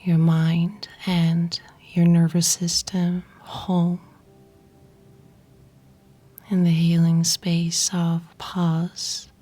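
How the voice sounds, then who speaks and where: A woman guiding a yoga nidra meditation in a breathy, whispery, husky voice, soothing and unhurried, with pauses between short phrases. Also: fairly low pitch, completely flat delivery, warm tone. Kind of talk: guided meditation narration.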